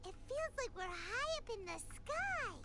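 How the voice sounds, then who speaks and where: A woman voicing a small, excitable female fairy companion in a video game, curious and chattering about herself. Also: extremely high pitch, unhurried, exaggerated voice. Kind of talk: game dialogue. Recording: game audio with loud music.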